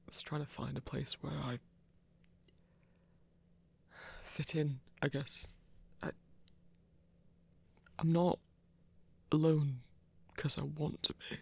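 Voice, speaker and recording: Lonely, awkward werewolf for ASMR audio drama, played by a performer: mid-pitched, husky voice with fry, gentle and stammering. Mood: lonely, subdued. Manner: hesitant, stammering. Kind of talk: intimate ASMR audio roleplay.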